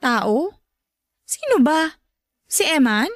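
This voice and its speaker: An actress playing a defensive, shy, lovelorn young woman in a Tagalog radio drama, emotive and quick to deny. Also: sits high, sing-song, no room sound, studio quality, exaggerated voice. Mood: evasive.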